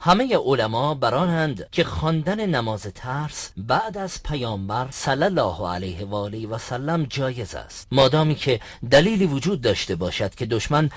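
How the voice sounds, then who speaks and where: A man plays a learned religious teacher in an educational cartoon. His earnest, didactic delivery is formal yet moves widely in pitch, around a middle register, and the recording is dry. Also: big pitch swings, dry recording. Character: learned male religious teacher, earnest, explaining to travelling companions. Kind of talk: scripted educational cartoon dialogue.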